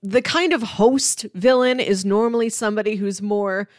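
A woman co-hosting a podcast, chatting casually about film in an animated way and playfully acting out lines. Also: medium pitch, moderate pace, no echo, studio quality. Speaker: podcast co-host.

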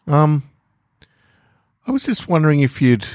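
A man reading the part of a shy child in a short story, tentative and halting. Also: fairly high pitch, big pitch swings, darkish tone, dry recording, studio quality, pitched above the performer's own voice. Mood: hesitant, let down. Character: shy, hesitant child wanting a hug.

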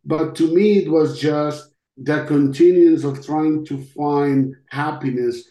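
A middle-aged man with a Costa Rican Spanish accent shares his testimony at a very unhurried pace, pausing often as he reflects. His voice is on the mellow side, and the room adds a noticeable echo. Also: a little high, steady tone. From Costa Rica. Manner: halting, reflective, with long pauses. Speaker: interview guest, podcast host. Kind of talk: personal testimony in an interview. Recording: roomy, echoing sound.

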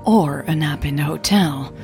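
A woman voicing a curious, wry female tech blogger in an audio drama, with a husky, breathy voice and a questioning manner. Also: sits low, a little slow, a little mellow, faint music behind. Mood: hesitant.

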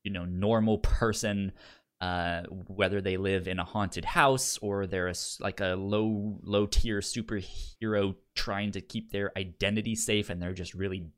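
A man with a fairly low voice co-hosts a podcast. He talks casually in a halting flow, often repeating word starts as he works toward his point. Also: steady tone, clean tone. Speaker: podcast co-host. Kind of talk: casual chat, reviewing and recommending.

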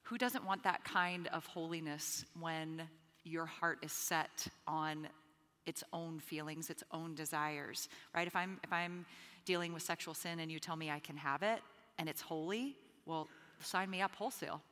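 A woman's voice: deep voice, fairly even intonation, moderate pace, bright, forceful tone. A woman from the Bahamas, a guest on a live podcast, speaking in an earnest, emphatic way. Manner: emphatic, earnest, repeats words. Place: conference stage with hall. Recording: live hall reverb.

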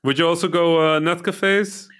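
A man's voice: a little high, fairly even intonation, normal speed, a bit bright, clear, resonant tone. A man from Egypt hosting a casual interview chat, often hesitating with ums and restarts. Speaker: podcast host.

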